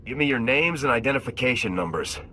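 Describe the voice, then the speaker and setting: Casting: calm, dutiful lieutenant, firm and bright-toned. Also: male voice, mid-range voice, quick pace, faint music behind, poor audio. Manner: level, firm, restrained. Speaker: army lieutenant.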